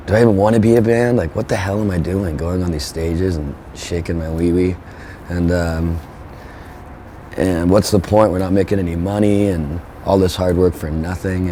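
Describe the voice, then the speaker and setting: A young man, a band's frontman, talking in a relaxed, rambling way with lots of fillers. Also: sits low, slight background noise. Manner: laid-back, rambling, with frequent fillers. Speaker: band frontman. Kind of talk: relaxed interview talk.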